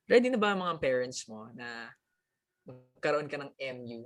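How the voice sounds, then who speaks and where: A man's voice: mid-range voice, sing-song, normal speed, faint music behind. Chatty man hosting a launch. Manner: upbeat, chatty, switching between English and Tagalog with many fillers. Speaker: host. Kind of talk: live-streamed media announcement hosting.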